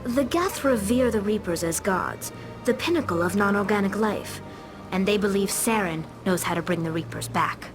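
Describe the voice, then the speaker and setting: A woman's voice: mid-range voice, expressive, normal speed, lightly acted. An actress playing a young alien pilgrim in a video game, earnestly explaining what she has learned. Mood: grave, explaining. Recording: loud music behind dialogue. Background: music.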